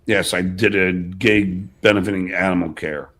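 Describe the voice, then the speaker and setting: A man's voice: low voice, fairly even intonation, moderate pace, a bit bright. A man hosting a livestream in casual chat, blunt and often swearing. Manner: profane, blunt. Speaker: host of a livestream show.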